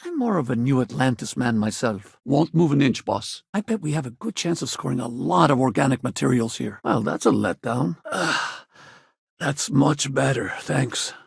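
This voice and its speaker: A gruff, easygoing middle-aged space veteran for a video game. His voice is heavily gravelled and rough-edged, recorded close and dry. He talks in a folksy, wry, casual way, like a dad with some regrets. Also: medium pitch, animated, moderate pace, no room sound, heavily acted. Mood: friendly, wry. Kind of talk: video game companion dialogue.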